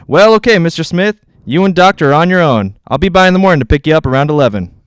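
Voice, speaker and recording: A man's voice: on the high side, animated, fast talker, clear, resonant tone, no room sound, studio quality, natural voice. A man playing a plain-spoken, dismissive real estate agent in a 1940s-style radio drama, telling things in a folksy way. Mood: matter-of-fact.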